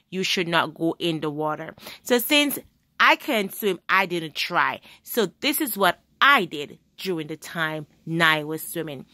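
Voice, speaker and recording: A woman's voice: lowish voice, bright, forceful tone, no room sound. A woman narrating her travel vlog, talking straight to her viewers with enthusiasm. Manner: enthusiastic, addresses viewers directly. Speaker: travel vlogger. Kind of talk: travel vlog narration over phone clips.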